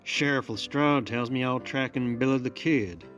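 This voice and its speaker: This is a drawling frontier mayor, as a man plays him in an audio drama. He sounds folksy and friendly on the surface, but every line carries a quiet threat. Faint saloon music plays behind him. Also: medium pitch, moderate pace, lightly acted. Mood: sly. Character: smooth, menacing frontier town mayor, blackmailer.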